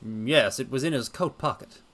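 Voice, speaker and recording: A man's voice: medium pitch, normal speed, fairly bright tone, natural voice. A man voicing an earnest, sceptical police inspector in a dramatic reading, reporting in a matter-of-fact way. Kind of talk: dramatic reading of a detective story.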